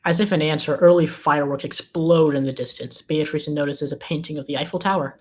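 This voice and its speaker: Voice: mid-range voice, fairly even intonation, fairly quick, a little mellow. A screenplay narrator with a mid-range voice reads out stage directions. The delivery is scripted, describing scenes and actions for a table read.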